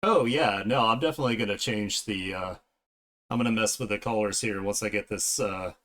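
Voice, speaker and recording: Dude streamer drawing and chatting, kinda deep voice, casual, slangy and blunt. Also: male voice, brisk, fairly bright tone. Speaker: streamer, digital artist. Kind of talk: casual livestream chat while drawing.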